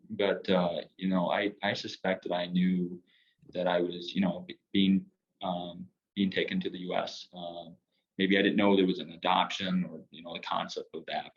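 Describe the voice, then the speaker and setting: A man's voice: low voice, husky, dull audio. A man recalling his adoption in an interview, speaking hesitantly with many fillers and restarts. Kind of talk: personal interview.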